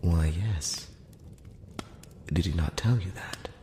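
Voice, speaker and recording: A solemn, gentle elf envoy voiced by a man whispers and murmurs close up in a fantasy ASMR roleplay. The delivery is slow, halting and measured, with careful courtesy. Long reverb hangs around the hushed voice, over faint background noise. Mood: gentle, curious. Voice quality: breathy whisper mixed with very low murmur.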